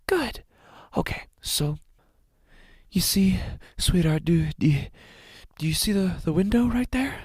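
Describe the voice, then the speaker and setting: A man playing an anguished, sleepless, guilt-ridden father in a horror audio drama, halting and breathy, swinging between hushed and intense delivery. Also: high-pitched, animated, no room sound, clean studio sound, full character voice. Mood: anxious, coaxing. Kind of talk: dramatic horror audio narration in first person.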